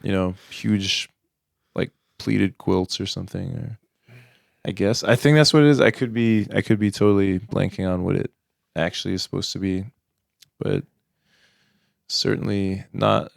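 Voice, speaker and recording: A young man co-hosting a podcast, casual and agreeable, often chiming in with quick yeahs. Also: low-pitched, steady tone, gravelly, no room sound, studio quality. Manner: agreeable, frequent backchannel yeahs. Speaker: podcast co-host. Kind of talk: casual chat.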